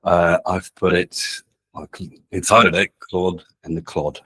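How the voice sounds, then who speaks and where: A man's voice: sits low, little pitch movement, a little slow, a bit bright, slightly rough, low-quality recording. A man in his forties giving a casual, chatty presentation to an audience in a meeting room, speaking into a handheld microphone and often checking in with "right?". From not Australia. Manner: casual and chatty, with many "um"s and tag questions ("right?"). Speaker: presenter at a community meetup.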